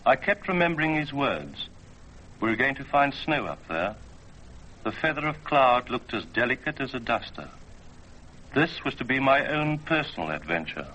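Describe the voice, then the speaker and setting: A man telling a scripted story on old radio in a measured, literary way. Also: mid-range voice, big pitch swings, sharp tone, slight background noise. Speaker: storyteller and producer. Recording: dull vintage radio recording.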